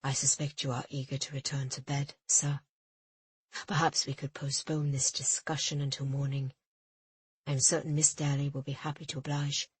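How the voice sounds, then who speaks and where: A composed ship's officer with a polished English accent and a low, steady delivery, as a woman reads him in a storybook. Every line comes out smooth and measured, never rising, with a soft, breathy edge to the tone. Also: no room sound. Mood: calm, measured. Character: calm, smooth-spoken male ship's officer.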